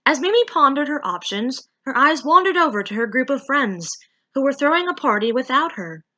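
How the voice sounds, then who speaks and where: A woman narrating a story in a theatrical, playful, expressive way. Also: sits high, normal speed. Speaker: fan fiction reader and narrator.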